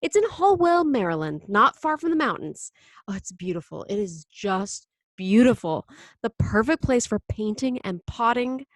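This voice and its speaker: A woman's voice: a little high, sing-song, normal speed, no echo. A woman voicing a breezy, determined, enthusiastic mother in a storybook reading, with coaxing, exclamatory delivery. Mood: dreamy delight.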